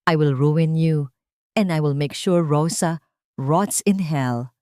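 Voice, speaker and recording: A woman's voice: deep voice, slow, darkish tone, clean tone, no room sound. A woman plays a greedy, scheming wealthy wife in an audio drama. She is taunting, defiant and full of venom, threatening whoever crosses her. The sound is dry and close. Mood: furious, threatening. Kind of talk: Tagalog audio drama acting.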